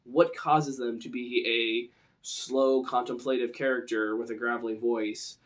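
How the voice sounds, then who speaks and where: A man's voice: mid-range voice, steady tone, a little slow. A man presenting an informal tutorial on role-playing to camera, talking in a casual, explanatory way.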